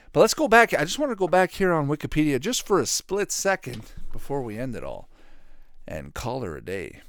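Male podcast host, thirties, mid-pitched. Informal, loose, repetitive solo commentary, recorded dry with no room sound. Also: no room sound. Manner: rambling, repetitive, informal with swearing. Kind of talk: casual solo commentary on retro cartoons.

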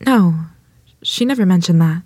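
A woman's voice: on the low side, lively intonation, moderate pace, a little mellow, husky, no echo. A woman playing a modest, polite rural wife and mother in a period table read, speaking with hesitant self-doubt. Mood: humble, uneasy.

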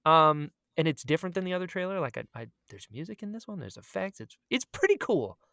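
Male podcast co-host, lively swooping intonation, rambling and self-deprecating, close dry sound. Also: high-pitched, fast, vocal fry, dry recording. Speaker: podcast co-host and audio drama creator. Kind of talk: casual chat.

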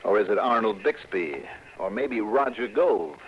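An actor playing a cool, wry, unflappable investigator who also narrates in a 1960s radio drama. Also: male voice, on the low side, animated, a little slow, slight background noise. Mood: cool, needling. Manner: wry, unruffled. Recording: dull old radio recording, music behind.

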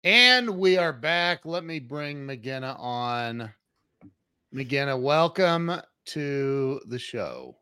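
A man hosts a casual online interview show with informal, chummy phrasing. His voice is clean and smooth at a medium pitch, and he takes his time, speaking slowly. The sound is close and dry. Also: big pitch swings, bright, forceful tone, no echo. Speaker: talk show host and interviewer.

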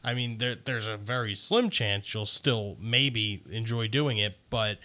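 A man's voice: lowish voice, unhurried, bright, forceful tone. A man chatting casually about music in loose, informal phrasing full of filler "like".

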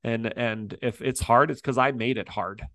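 A man's voice: medium pitch, moderate pace, a bit bright, no room sound, clean studio sound. A man speaking as a coach in an interview, emphatic and upbeat in a motivational way. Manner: emphatic, upbeat, acts out quoted voices. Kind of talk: interview guest, motivational talk.

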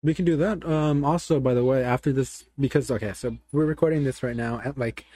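A man's voice: mid-range voice, fairly quick, warm tone, no echo. A young man hosting a casual podcast with friends, joking and teasing as he talks. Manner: teasing, laughing, talks over others. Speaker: podcast host. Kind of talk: casual chat among friends.

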